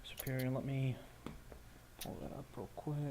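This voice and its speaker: A man's voice: mid-range voice, normal speed, mellow. A man narrating a tabletop role-playing game as game master, casual and descriptive.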